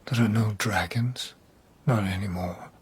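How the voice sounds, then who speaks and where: An actor playing a deluded imprisoned nobleman of old Japan in a radio drama, theatrical and bitterly wistful, with drawn-out phrases and hushed whispers. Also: fairly low pitch, breathy edge. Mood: anguished.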